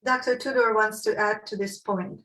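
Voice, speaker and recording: A woman moderating an academic webinar in a polite, deliberate way, heard through a roomy, distant microphone. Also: mid-range voice, fairly even intonation, bright, forceful tone.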